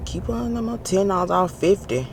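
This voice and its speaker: A man with a Southern Alabama accent vlogging casually from his car, animated and laughing often as he talks to a handheld camera. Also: sits high, normal speed, vocal fry. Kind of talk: casual driving vlog. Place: in a car, driving. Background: faint car and road noise.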